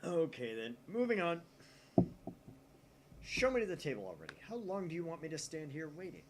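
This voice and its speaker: A man's voice: fairly high pitch, big pitch swings, fairly quick, background noise, pitched above the performer's own voice. A man voicing a brash, self-important young man who brushes others off. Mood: impatient. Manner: brash, dismissive.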